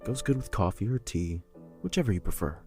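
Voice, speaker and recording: A man playing a shy, hesitant but kind young admirer in an audio drama, casual and low-key. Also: expressive, a little fast, a little mellow, husky. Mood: awkward, kind. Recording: music behind the voice.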